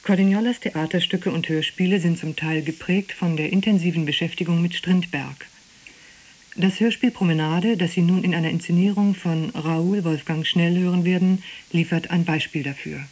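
A woman reading a scripted introduction to a radio play as an announcer, formal and measured. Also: fairly low pitch, steady tone, darkish tone.

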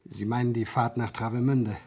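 An actor playing a polite, nervous office manager in a 1970s German radio crime play, hesitant and eager to explain. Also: male voice, mid-range voice, fairly even intonation, normal speed, warm tone, husky.

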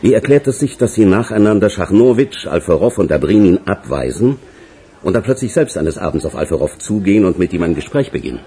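A man's voice: sits low, very animated, fairly quick, mellow. An actor playing a condescending veteran police investigator in a German radio crime drama, formal and pedantic as he interrogates. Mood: pompous rebuke.

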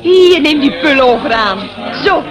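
A woman's voice: high-pitched, lively intonation, unhurried, muffled recording, crowd noise. A woman in a radio play acting a doting, chatty lady with a gushing, coaxing manner. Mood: coaxing, tearful. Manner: gushing, sing-song coaxing. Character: doting, cajoling girlfriend and chatty neighbour lady.

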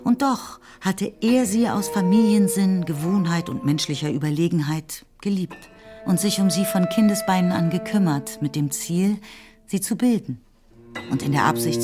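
A woman reading scripted literary narration for a radio play in a measured, composed way. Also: low-pitched. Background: music.

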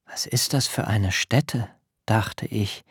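An actor playing a dignified middle-aged biblical patriarch who tells his story solemnly and deliberately in a literary radio drama. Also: fairly low pitch, breathy edge, no room sound, studio quality, full character voice. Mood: solemn, exalted. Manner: measured, solemn, deliberate delivery. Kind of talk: literary radio drama, first-person narration.

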